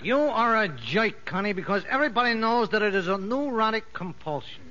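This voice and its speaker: Gruff, loyal Italian hoodlum convict, blustering and slangy, highish voice, dull old radio sound. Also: male voice, animated, a little fast, bright, forceful tone. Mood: indignant. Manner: rough slangy talk, blustering. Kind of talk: 1950s radio drama acting. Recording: dull vintage 1950s radio recording.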